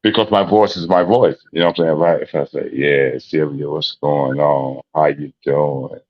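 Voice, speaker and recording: A man with a deep voice, a guest in a casual livestream chat, laid-back and repeating his phrases. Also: steady tone, moderate pace. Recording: dull lo-fi call audio.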